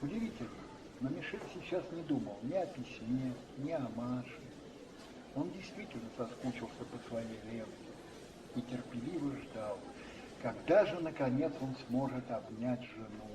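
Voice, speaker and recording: A man narrating a radio play as scripted, measured storytelling. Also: medium pitch, expressive, reverberant, distant mic, background noise.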